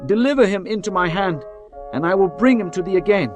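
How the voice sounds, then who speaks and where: A man's voice: sits high, lively intonation, brisk. Reuben, a man voicing an urgent elder brother. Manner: urgent, pleading. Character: guilt-stricken eldest brother Reuben. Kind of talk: dramatized scripture reading. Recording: music bed behind voice.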